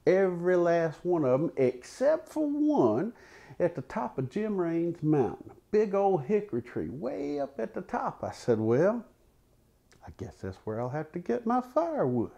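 A man tells a folksy tall tale, unhurried, with dramatic pauses and repetition. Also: sits high, wildly swooping pitch, vocal fry, mellow, lo-fi sound. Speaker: storyteller. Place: living room in a house, seated by a fireplace. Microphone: lapel.

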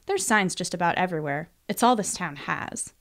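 A woman's voice: fairly low pitch, animated, moderate pace, fairly bright tone. A wry young female barista acted by a woman narrator from a storybook. She talks in a dry, offhand way, casual and hard to impress.

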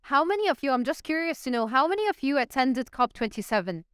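Smooth-voiced woman moderating a panel. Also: high voice, very animated, fast, no echo, studio quality. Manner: engaging, polls the audience. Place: stage in a large event hall. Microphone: handheld mic.

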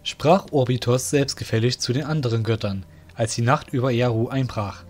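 A man's voice: medium pitch, normal speed, faint music behind. A man narrating a scripted fantasy audio drama.

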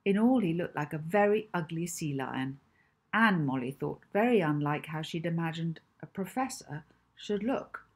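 A woman's voice: deep voice, animated, moderate pace, husky. A woman, narrating a children's novel expressively. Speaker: author reading own children's novel.

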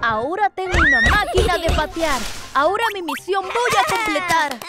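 A very high-pitched, wildly swooping little lion cub, excitable and bold. The lines come in playful sing-song cartoon rhymes, with loud children's music behind. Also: moderate pace, heavily acted. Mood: eager. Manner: exclamatory, sing-song rhyming delivery.

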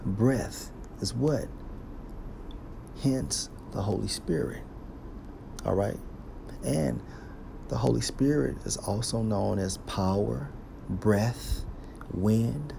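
A man teaching scripture, reading verses aloud slowly and explaining each one with a deliberate delivery. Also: on the low side, vocal fry, noisy background. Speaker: Bible teacher.

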